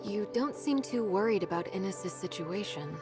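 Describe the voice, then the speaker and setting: A woman voices a composed female video game character with a mid-range voice. She is attentive and direct. Game music is clearly heard behind her. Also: mid-range voice, expressive, moderate pace, close to an everyday voice. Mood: inquiring. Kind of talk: scripted video game dialogue.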